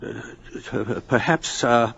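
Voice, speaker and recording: A man playing a thoughtful, inquisitive older professor in a 1950s radio drama, husky and deliberate, with hesitant pauses. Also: medium pitch, fairly bright tone, exaggerated voice. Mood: curious, earnest. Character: eager, thoughtful older male scientist professor. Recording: dull vintage radio recording.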